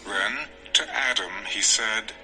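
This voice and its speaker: A man narrating scripture from a script in a measured storytelling manner. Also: on the low side, bright, forceful tone, soft background music, rough recording.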